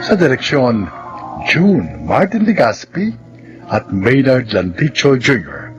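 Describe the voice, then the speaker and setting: Male Filipino drama announcer: theatrical, formal, deliberate pacing, animated pitch, music underneath. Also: dull audio. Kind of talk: scripted dramatic narration, reading credits.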